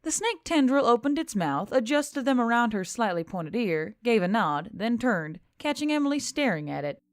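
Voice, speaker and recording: A woman reading scripted fiction as an expressive story narrator. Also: a little high, normal speed, no echo, clean studio sound. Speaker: audiobook narrator.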